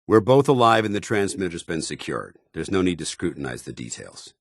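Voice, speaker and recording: A stern video game paladin, with a very low, husky voice that moves expressively. He is zealous and loyal, speaking with clipped military formality in a close, dry recording. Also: big pitch swings, a little fast, no echo, full character voice. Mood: firm. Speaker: companion soldier character.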